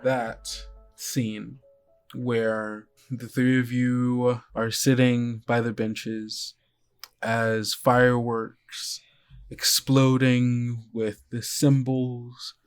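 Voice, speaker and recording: A man improvising descriptive narration as game master of a tabletop roleplaying game, in a halting way. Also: medium pitch, clean tone.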